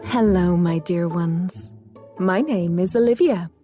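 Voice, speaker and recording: A woman voiceover artist reading a soft, caring demo. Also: on the low side, slow. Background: faint music.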